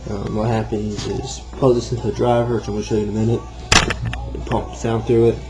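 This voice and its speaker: A man's voice: on the low side, level tone, fairly quick, over music. A man giving an informal, rambling, self-deprecating walkthrough of something he built, off the cuff. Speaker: hobbyist builder showing a homemade project. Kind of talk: casual off-the-cuff demo narration.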